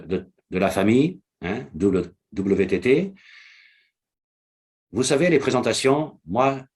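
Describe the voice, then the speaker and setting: A man's voice: deep voice, darkish tone, breathy edge. A man whose first language is Portuguese gives a motivational online presentation to his business network, repeating key phrases and checking in with listeners often.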